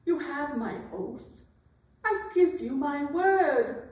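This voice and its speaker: A woman playing a weary, wounded, sharp-tongued medieval queen in a dramatic staged reading. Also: high voice, animated, slow. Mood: pleading, exasperated. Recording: very echoey room, distant microphone.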